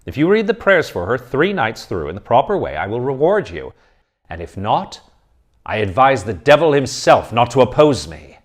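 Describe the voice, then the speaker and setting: A man's voice: lowish voice, expressive, fairly quick. A man voicing a grieving old colonel who speaks in stern, emphatic declarations.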